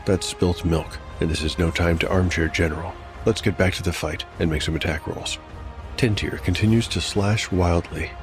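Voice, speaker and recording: Man, very low pitch, tabletop game narrator, dramatic storytelling, wry dice commentary, clear music bed behind. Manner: wry asides and mild exclamations between narration. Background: background music.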